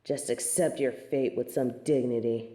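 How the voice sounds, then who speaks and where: A woman's voice: rumbling low, fairly even intonation, unhurried, background noise. A woman playing a snobbish, merciless angel of judgement in an audio drama, speaking formally with imperious disdain. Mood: cold disdain. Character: haughty, merciless female angel of judgement, snobbish and self-righteous. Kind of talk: scripted audio drama acting. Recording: roomy, echoing sound.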